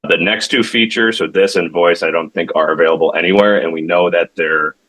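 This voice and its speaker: Man, low pitch, bright forceful tone, casual product demo, conversational, self-correcting, founder explaining his software. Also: steady tone, rough recording. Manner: halting, self-correcting, conversational, checks in with "right?". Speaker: tech company founder demonstrating his product.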